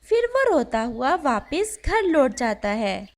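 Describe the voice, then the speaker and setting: A woman's voice: high voice, big pitch swings, takes it slowly, slight background noise. A woman narrating a scripted children's story.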